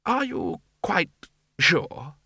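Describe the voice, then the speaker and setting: A pompous elderly head of wizards, as a man puts him on, raised in pitch and slow, tripping over ums and stammers. The voice sounds bright and dry. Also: very animated, gravelly, breathy edge, dry recording, heavily acted. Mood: hesitant. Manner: hesitant, full of ums and stammers.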